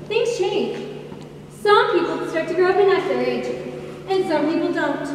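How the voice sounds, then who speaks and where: A woman's voice: very high-pitched, animated, moderate pace. A young woman acting an uptight, aggrieved wife nagging her husband in a school stage play. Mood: exasperated. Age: teen or young adult. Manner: scolding, indignant. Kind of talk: high school one-act stage play. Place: large indoor hall, stage performance. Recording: distant, echoey hall recording with hiss.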